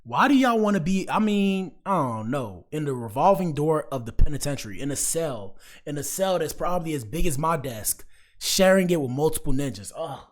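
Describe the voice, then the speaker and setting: A man's voice: a little high, very animated, moderate pace. An American man doing casual, slangy livestream commentary, speaking directly to his viewers as he reacts to clips.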